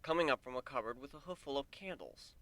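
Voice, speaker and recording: A man narrating a story as a dramatic reading, in a measured, descriptive manner. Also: mid-range voice, expressive, a bit bright. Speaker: fan fiction narrator.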